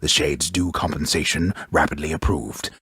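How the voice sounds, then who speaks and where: An actor playing a wry, grandiose old storyteller in a video game, narrating Greek myth gravely and ornately with dry mockery, his voice gravelly. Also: fairly even intonation, normal speed, sharp tone, no room sound, heavily acted. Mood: wry, stately. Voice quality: very deep, gravelly, husky voice. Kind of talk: theatrical scripted game narration.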